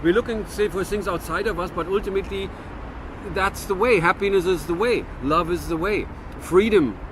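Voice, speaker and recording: A man's voice: high voice, moderate pace, a little hiss or hum. A man in his fifties from Germany with a German-American accent, musing earnestly to camera in a reflective monologue with frequent restarts. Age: 50s. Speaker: vlogger.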